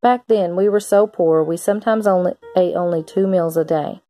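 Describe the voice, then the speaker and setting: A woman's voice: lowish voice, normal speed, mellow, soft background music. A woman reading a children's picture book aloud like a teacher, expressively acting out the characters' lines.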